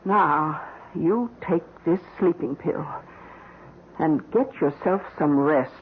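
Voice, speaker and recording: An actress playing a composed, coaxing housekeeper with a poised, soothing manner in an old-time radio drama. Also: female voice, deep voice, lively intonation, takes it slowly, background noise. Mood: coaxing, controlling. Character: composed, coaxing housekeeper woman, pleased to be courted. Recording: tinny old radio broadcast with music.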